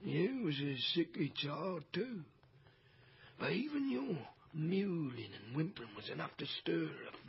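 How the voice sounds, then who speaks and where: An actor playing a deferential servant in a radio drama, a theatrical and confiding teller of dark family secrets. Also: male voice, gravelly, heavily acted. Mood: ominous, confiding. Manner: theatrical, confiding, hushed to booming. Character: deferential, gossiping male household servant, darkly dramatic storyteller. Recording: dull, noisy radio drama recording.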